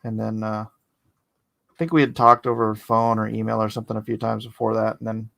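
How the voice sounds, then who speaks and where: Man from California, gravelly fry, close dry mic, deadpan jokester. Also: lowish voice, no room sound. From born in Hawaii, raised in San Jose. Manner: dry, joking, halting when reflective. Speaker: co-host, friend. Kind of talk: casual chat.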